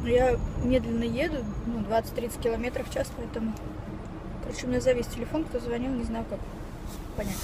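A young woman vlogs from the driver's seat. She rambles along in casual, slangy chat, picked up by a dashboard camera. Loud road noise fills the car cabin around her. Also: on the high side, level tone, quick pace. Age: young adult. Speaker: vlogger. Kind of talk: casual chat while driving. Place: inside a moving car. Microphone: camera mounted on the dashboard.

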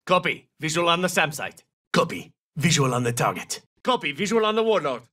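An actor playing a tough, terse special forces soldier in a military shooter game, giving short, clipped, commanding tactical callouts. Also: male voice, a little high, very animated, a little fast, pushed and bright, recorded from a distance, exaggerated voice. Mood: terse, focused. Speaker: video game operator voice actor.

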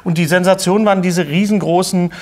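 Male talk show host from Germany, middle-aged, fairly high voice, chatty and humorous. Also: fairly even intonation, a little fast. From Germany, grew up around Bottrop. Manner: joking, chatty. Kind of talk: casual humorous interview. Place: small indoor talk-show studio.

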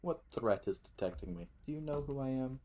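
A man giving casual gameplay commentary, musing aloud to himself in a halting way. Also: medium pitch, expressive, warm tone. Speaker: gaming video host.

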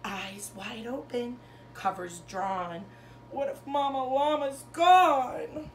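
A woman in her thirties, a teacher reading a children's storybook aloud to pupils with expressive, sing-song phrasing and emphasized words. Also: a little high, slow pace, slight background noise. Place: bedroom, indoors. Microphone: camera a couple of metres away.

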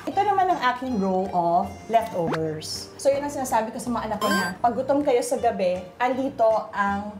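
A woman's voice: a little high, lively intonation, fairly quick, few pauses. A woman in her forties chatting casually in her home kitchen, giving a tour of her fridge and mixing English into her talk. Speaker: guest homeowner cooking. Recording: music in background.

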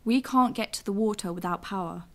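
A woman's voice: mid-range voice, a little fast, a little hiss or hum, close to an everyday voice. A young woman acting an anxious, determined daughter in a science fiction audio drama. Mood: worried, irritated.

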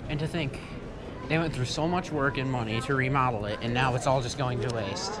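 A man's voice: medium pitch, fairly quick, a loud crowd. A young man filming a casual walkthrough of a closing store, wistful and chatty as he talks to the camera. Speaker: retail vlogger filming. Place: large empty department store in a mall, indoors. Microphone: handheld action camera. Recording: noisy handheld camera audio.

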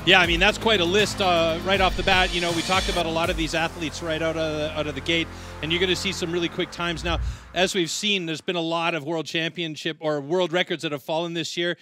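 A man's voice: fairly high pitch, level tone, fairly quick, sharp tone, faint music behind. A man giving conversational live sports commentary, talking at length in an emphatic manner.

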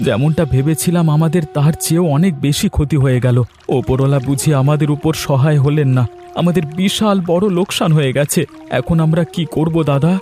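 A man's voice: fairly high pitch, fairly quick, warm tone, soft background music, natural voice. A man voicing a worried, irritable village farmer and husband in a cartoon drama.

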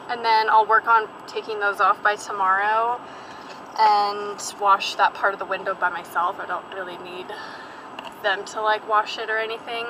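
A young woman vlogging from inside her car, rambling casually through her errands with lots of fillers and asides. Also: mid-range voice. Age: young adult. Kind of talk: casual vlog chat while driving. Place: inside a parked and moving car. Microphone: camera on the dashboard. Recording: car interior with road and engine noise.

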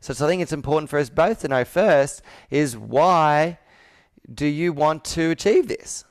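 A man's voice: on the high side, animated, moderate pace, clean tone. A man in his thirties coaching a sales roleplay on a video call, asking probing questions and repeating answers back. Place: indoor room with whiteboard, on a video call.

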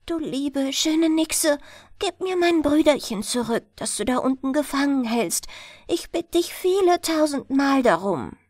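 A woman acts out a tender little fairy-tale girl with a high, very melodic voice. She is earnest, pleading and selfless. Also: lively intonation, unhurried, darkish tone, no room sound, clean studio sound. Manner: earnest, pleading storybook delivery.

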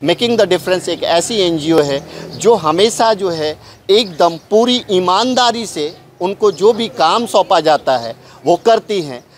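A man's voice: high voice, expressive, slow. A middle-aged man with an Indian accent reporting and interviewing on location as a news presenter. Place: outdoors on a busy street at an event. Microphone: handheld clip mic held close. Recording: noisy outdoor street ambience.

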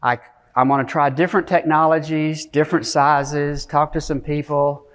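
Man, middle-aged, somewhat raised pitch, conference presenter, informal technical talk, conversational delivery. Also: level tone, moderate pace, a bit bright. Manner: conversational, frequent tag questions like "okay?" and "right?"; frequent throat clearing. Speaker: conference keynote speaker. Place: conference stage, speaking from a podium area to an audience.

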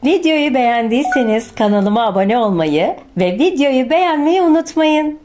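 A woman narrating a children's picture book in an expressive scripted storytelling style. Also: sits high, moderate pace. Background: music.

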